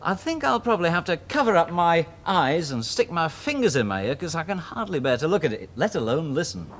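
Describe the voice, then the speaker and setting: A man's voice: a little high, big pitch swings, fairly quick. A man from Halifax with a Yorkshire accent, chatting and narrating on a 1970s children's TV show in a self-deprecating way. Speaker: children's TV presenter. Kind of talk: casual chat and narration. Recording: old 1970s TV archive sound.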